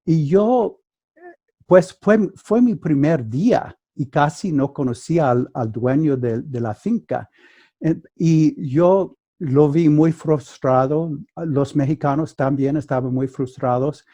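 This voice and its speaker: A man whose first language is English, speaking Spanish with an American accent as a guest mediator, telling a story carefully with halting restarts over a video call. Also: on the high side, mellow. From United States. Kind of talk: conversational storytelling.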